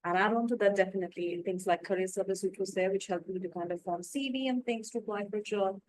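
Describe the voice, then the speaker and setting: A woman's voice: medium pitch, fast, a little mellow, dry recording, rough recording. A woman from India speaking on a student panel, talking in a chatty way and often adding on to what others said. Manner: chatty, adds on to others with fillers like "definitely" and "you know". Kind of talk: casual panel discussion.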